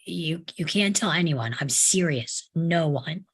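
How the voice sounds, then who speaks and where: A woman playing a cheeky, blunt young boy with a husky voice in a table read. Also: low-pitched, expressive, unhurried, heavily acted. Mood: bargaining, snappy.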